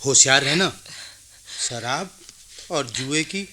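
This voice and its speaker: Bright, animated fatherly village man. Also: medium pitch, takes it slowly, heavily acted. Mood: urging, stern. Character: big-hearted village man, firm fatherly benefactor. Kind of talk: theatrical radio play acting.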